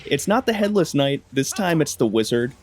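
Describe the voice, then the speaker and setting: A man's voice: a little high, very animated, normal speed, faint music behind. A young man giving casual, comedic narration of his gameplay in a wry, slangy way. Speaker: gaming video creator.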